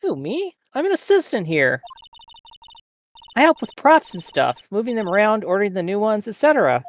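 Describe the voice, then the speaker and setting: A woman's voice: medium pitch, big pitch swings, moderate pace, slight background noise, lightly acted. A woman voicing a chatty, earnest young female studio assistant in an amateur video game dub. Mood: sassy.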